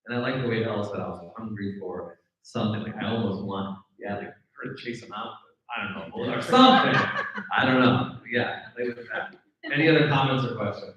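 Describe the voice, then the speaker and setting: A man's voice: lowish voice, fairly quick, a bit bright. An American man moderating an informal audience discussion as host. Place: indoor live event room. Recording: echoey room, distant dull mic.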